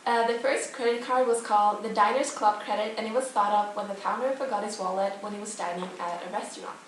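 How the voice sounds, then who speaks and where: A woman's voice: mid-range voice, steady tone, fast. A young woman gives a semi-scripted presentation as a student co-presenter of a mock TV broadcast. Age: teenager or young adult. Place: echoey indoor room. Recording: very echoey room, distant mic, faint noise.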